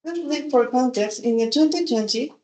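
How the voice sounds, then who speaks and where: Young woman reading formally, mellow. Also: high voice, normal speed. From Chile. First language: Spanish. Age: young adult. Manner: careful, formal wording. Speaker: seminar presenter. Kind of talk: reading a prepared presentation. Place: seminar venue. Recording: echoey conference room.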